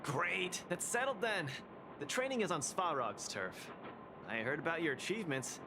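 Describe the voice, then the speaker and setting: An actor voicing a boisterous, eager young male fighting champion in a video game, with an excitable, cajoling delivery. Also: fairly high pitch, normal speed, noisy background. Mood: cheerful, eager. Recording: loud music under the voice.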